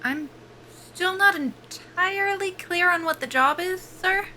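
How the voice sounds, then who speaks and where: A woman's voice: extremely high pitch, a little slow, sharp tone, slight background noise, heavily acted. A woman playing a guarded, desperate soldier at a job interview in an audio drama, speaking with dry, understated skepticism. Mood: hesitant, puzzled. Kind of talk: naturalistic audio drama dialogue.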